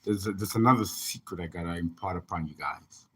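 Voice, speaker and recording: A man's voice: deep voice, brisk, slightly rough. A man, an astrologer and tarot reader, chatting casually on a livestream and rambling as he reads viewer comments aloud.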